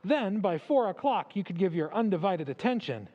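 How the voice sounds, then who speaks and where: A polite tutor played by a man in an old-time radio drama. The voice is high and very lilting, with proper, careful phrasing and a gently cautioning manner. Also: big pitch swings, normal speed, muffled recording. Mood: indulgent.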